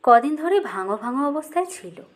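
High-voiced woman narrating an audiobook. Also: very animated, moderate pace. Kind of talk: expressive literary story narration.

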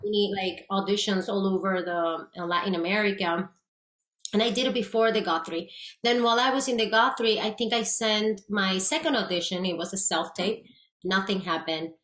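A woman's voice: medium pitch, moderate pace. A woman in her thirties with a Puerto Rican accent, a guest actress chatting animatedly over a video call. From Puerto Rico. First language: Spanish. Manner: animated, gestures a lot, restarts often. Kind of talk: casual interview chat. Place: small room with plain grey wall, indoors. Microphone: video call webcam. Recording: roomy echo.

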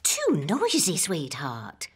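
A woman's voice: deep voice, wildly swooping pitch, slow pace, husky, heavily acted. A woman voicing a doting, busy mother in a children's cartoon, cooing and motherly. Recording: loud music bed under dialogue.

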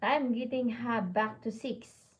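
A woman's voice: fairly high pitch, fairly even intonation, slow, muffled recording, slight background noise. A woman in her early forties with a Filipino accent, chatting casually on a livestream and mixing in Tagalog words. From Philippines. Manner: mixes Tagalog words into English. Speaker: livestream host. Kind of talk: casual livestream chat, reading viewer comments. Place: room at home. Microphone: phone at arm's length.

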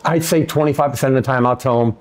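A plain-spoken man in his sixties who owns a gym. He talks casually and bluntly. His voice sits at an ordinary pitch. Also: quick pace. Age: 60s. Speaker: gym owner. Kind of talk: casual interview and gym tour.

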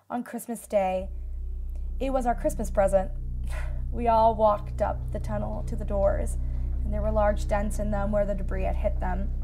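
A woman acts out a female survivor in a radio drama interview. She speaks in a measured, reflective way with a wistful temper, at a middling pitch. Faint music sits behind her. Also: warm tone, lightly acted, rough recording. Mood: solemn. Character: female asteroid-disaster survivor recalling shelter life, wistful.

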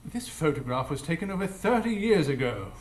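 A man playing a shrewd, gentlemanly older detective in a live radio drama, formal and probing. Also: fairly high pitch, big pitch swings, fairly quick. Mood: intent. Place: live stage before an audience. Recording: echoey live stage.

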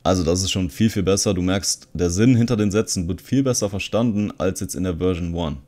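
A man talks in a low voice. He presents like an online video host walking through software on screen. His delivery is casual and conversational, explaining as he goes. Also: a little fast. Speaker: video host, content creator. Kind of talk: casual screen-recording tutorial commentary.

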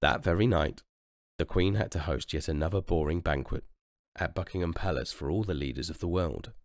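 A man reading a children's story aloud with expressive narration. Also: sits low, a little fast, no room sound, studio quality. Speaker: storybook reader.

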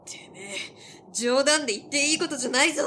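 A woman acting a fierce, protective, rough-tongued tomboy girl in an audio drama. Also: high voice, lively intonation, fast talker, pushed and bright, slight background noise. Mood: angry.

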